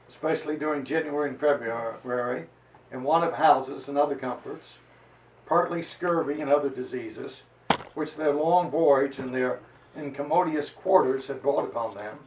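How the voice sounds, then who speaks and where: A man giving an emphatic, declamatory dramatized reading of a historical chronicle, stretching out phrases deliberately. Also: sits high, dull audio. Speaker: reader and lecturer on history. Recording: roomy echo, voice distant from microphone.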